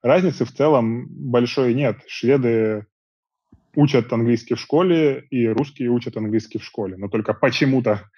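A man in his thirties, an interview guest, chatting casually in long, relaxed stretches from a small room at home over earbuds on a video call. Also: mid-range voice, moderate pace, breathy edge, no room sound. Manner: relaxed, talks in long rambling stretches with fillers. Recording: dull dry video call audio.

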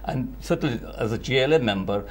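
A man's voice: medium pitch, expressive, fairly quick, slight background noise. Older guy, politician on a tv panel, pushes hard and repeats himself, lapel mic. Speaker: local politician. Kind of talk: TV panel discussion. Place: TV studio.

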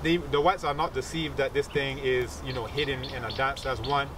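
A man's voice: medium pitch, fairly even intonation, normal speed, recorded from a distance, background noise. A man in his thirties explaining as a teacher in an interview, didactic, often checking that the listener understands. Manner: didactic, checks understanding with short tag questions. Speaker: capoeira teacher and researcher. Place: outdoors among leafy trees.

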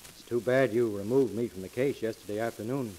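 An actor playing an earnest, businesslike hired investigator, formal and respectful, in a 1940s radio drama. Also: male voice, on the low side, a little fast, dark tone, lightly acted. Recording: hissy crackling 1940s radio recording.

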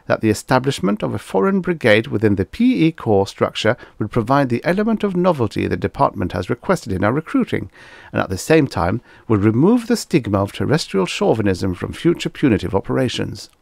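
A man's voice: medium pitch, big pitch swings, fairly quick, gravelly, darkish tone, dry recording, pitched above the performer's own voice. A man voicing a fussy, obsequious diplomatic aide with a hesitant, wordy manner in an audiobook. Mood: officious. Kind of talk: audiobook character voice, science fiction satire.

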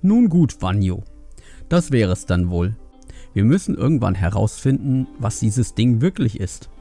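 A man voicing a sceptical, weary village elder who questions others in a measured, deliberate way for a fantasy audiobook. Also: fairly low pitch, sing-song, mellow, soft background music.

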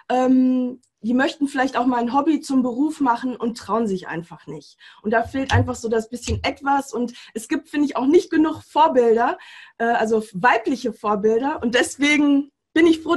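A woman in her forties hosting an interview over a video call from home, enthusiastic and filling pauses with "ähm". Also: fairly high pitch. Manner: warm enthusiastic with many fillers. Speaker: interview host, mindset coach. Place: home room with bookshelf.

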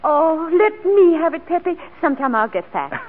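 A woman's voice: very high-pitched, sing-song, moderate pace. An actress playing an eager, naive, devoted young woman of the underworld in a 1940s radio drama. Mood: excited, coaxing. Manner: pleading, naive. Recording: tinny 1940s radio recording.

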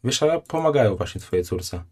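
A man's voice: medium pitch, animated, fairly quick. Blunt, indignant male streamer. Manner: blunt, indignant, often drops to a quiet disgusted tone. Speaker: streamer reacting to a video. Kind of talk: live stream reaction commentary.

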